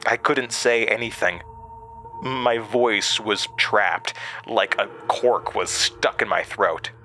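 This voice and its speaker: Haunted narrator, horror audio drama, slightly low pitch, forceful edge, slow, grave, deliberate. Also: male voice, fairly even intonation, soft background music. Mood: dread. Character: haunted man recalling his horrifying past. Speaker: narrator and protagonist.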